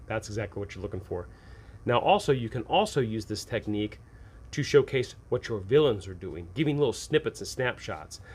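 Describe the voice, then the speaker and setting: Male host, medium pitch, informal off-the-cuff explainer for game masters, conversational, asks and answers his own questions. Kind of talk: casual unscripted advice monologue.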